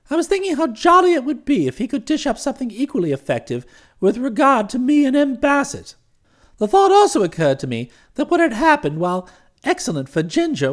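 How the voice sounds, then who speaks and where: A man playing a genial, excitable young upper-class English gentleman in an audiobook, breezy and chatty, with an upper-class British accent. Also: very high voice, exaggerated voice. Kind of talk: audiobook first-person narration and dialogue.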